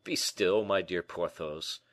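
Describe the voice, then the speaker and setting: A man voicing a shrewd, brisk gentleman in an audiobook, animated, questioning and a little impatient. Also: low-pitched, no echo. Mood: mildly impatient. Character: D'Artagnan, brisk, shrewd, exclaiming gentleman friend. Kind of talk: audiobook character acting.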